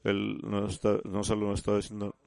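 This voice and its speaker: Low gravelly man preaching. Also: monotone, a little mellow, dry recording. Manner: halting, repeats and restarts words; addresses listeners as "usted". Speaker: church speaker. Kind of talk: sermon.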